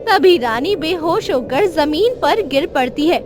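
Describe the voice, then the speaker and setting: Slow, high-pitched female storyteller. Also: animated, background noise. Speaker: storyteller, narrator. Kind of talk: dramatic scripted children's story narration.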